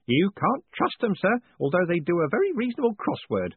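A man's voice: sits high, wildly swooping pitch, a little fast, husky, no room sound, voice pushed up, full character voice. A man voicing an old male postal worker who is deferential, hesitant and gossipy, saying sir at every turn. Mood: confiding. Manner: folksy, hesitant, says sir constantly. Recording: tinny phone-like sound, dry.

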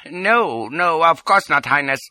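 Nervous little male doctor for a period audiobook: pitched well up, bright, flustered stammer. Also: takes it slowly, no room sound, heavily acted. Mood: nervously placating.

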